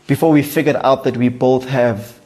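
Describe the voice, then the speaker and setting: Young South African man, easygoing guest, slangy, laughs easily, mid-pitched voice. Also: steady tone, normal speed, darkish tone. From Durban, South Africa. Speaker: guest, food entrepreneur. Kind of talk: casual podcast chat.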